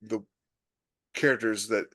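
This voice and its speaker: A man's voice: on the low side, fairly even intonation, unhurried, a bit bright, dry recording, clean studio sound. A man co-hosting a podcast panel, chatting casually about writing, rambling with lots of restarts and fillers. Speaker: podcast co-host, author.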